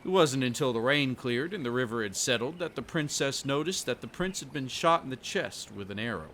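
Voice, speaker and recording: A man's voice: mid-range voice, lively intonation, fairly quick, a bit bright, a little hiss or hum, lightly acted. A man playing a kindly, folksy country fisherman in an audio roleplay, with rustic phrasing and a hospitable manner. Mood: wistful storytelling. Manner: folksy rural phrasing, dropped g's.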